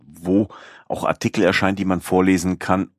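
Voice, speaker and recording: A man's voice: sits low, little pitch movement, moderate pace, dry recording, studio quality. A man hosting a podcast in casual chat, rambling with many fillers and restarts. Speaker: podcast host.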